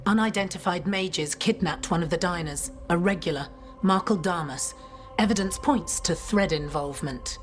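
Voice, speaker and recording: A woman's voice: lowish voice, normal speed, natural voice. An actress playing a stern, dutiful templar officer in a video game, terse and businesslike, with music behind her.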